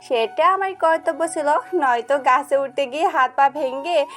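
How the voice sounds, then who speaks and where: A woman's voice: high-pitched, normal speed, a bit bright, soft background music, pitched above the performer's own voice. A woman voicing a playful, teasing young village girl in an audio story.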